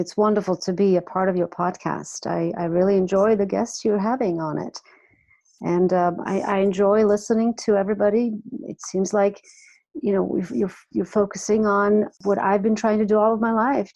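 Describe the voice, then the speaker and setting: A woman in her forties from Croatia, guesting on a podcast, talking in a warm, chatty way with frequent restarts. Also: medium pitch, fairly even intonation, normal speed, creaky, highs cut off. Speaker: guest, music artist and life coach. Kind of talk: casual podcast interview.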